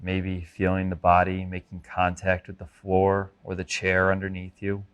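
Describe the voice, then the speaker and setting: Male meditation instructor, lower register, gentle and measured delivery for guided mindfulness practice. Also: completely flat delivery, smooth. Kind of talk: guided body scan meditation.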